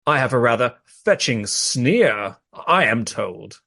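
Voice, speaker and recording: An actor in a radio play voicing an affable, vain Regency gentleman of amateur theatre, effusive, plummy and self-satisfied. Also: mid-range voice, animated, takes it slowly, exaggerated voice. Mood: effusive, smug.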